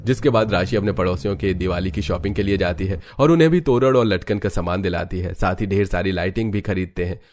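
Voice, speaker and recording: Low-pitched man narrating a cartoon story. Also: quick pace, few pauses, a little mellow. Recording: music bed under the voice.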